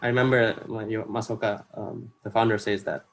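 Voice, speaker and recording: Low-voiced young Indonesian man presenting. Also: brisk, gravelly, slight background noise. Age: young adult. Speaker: workshop presenter. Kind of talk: informal online workshop talk. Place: online video call.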